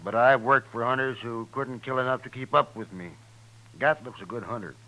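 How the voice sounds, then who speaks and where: An American actor playing a plain-spoken, resentful buffalo skinner in a 1950s radio western, talking in a rustic, grudging way. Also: male voice, mid-range voice, brisk, sharp tone, natural voice, low-quality recording. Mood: bitter, complaining. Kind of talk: 1950s radio drama acting.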